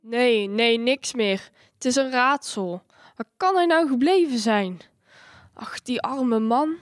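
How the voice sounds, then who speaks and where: A woman's voice: on the high side, slow pace, no echo, clean studio sound. A woman playing an anxious, compassionate young villager in a Dutch wartime audio drama, earnest and worried. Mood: worried, hopeful. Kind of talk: scripted radio drama dialogue.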